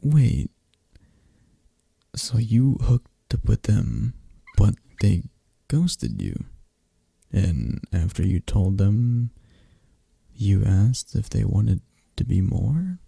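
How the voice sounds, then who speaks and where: Young man, low gravelly voice, husky, lively pitch, slow unhurried delivery, dry close mic. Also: no room sound. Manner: talks to an unheard listener, chatty and animated. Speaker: ASMR roleplay performer. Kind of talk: scripted friend roleplay, one-sided conversation.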